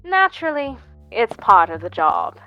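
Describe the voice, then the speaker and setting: A woman voicing a curious, unruffled female arms seller in an audio drama, theatrical and deliberate. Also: fairly high pitch, sing-song, muffled recording, soft background music. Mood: coolly confident.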